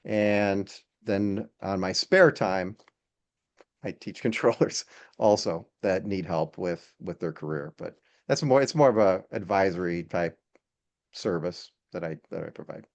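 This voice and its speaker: Low-voiced man talking haltingly. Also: darkish tone. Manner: hesitant, halting, repeats words. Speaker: podcast guest, air traffic control instructor. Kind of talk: casual interview conversation. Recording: dull, lo-fi sound.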